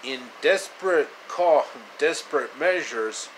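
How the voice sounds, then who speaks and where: A man in his thirties narrating a homemade story with halting delivery, recorded at arm's length in a small room. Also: fairly high pitch, lively intonation, a little hiss or hum, low-quality recording. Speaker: storyteller, video creator. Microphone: phone or webcam at arm's length.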